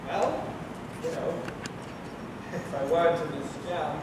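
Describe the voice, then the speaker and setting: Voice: mid-range voice, expressive, measured pace, close to an everyday voice. A young performer playing a harried, stubborn wrongly accused man in a live stage radio play, brusque and theatrical. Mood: guarded. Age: teenager or young adult. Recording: echoey hall, far mic, noisy livestream.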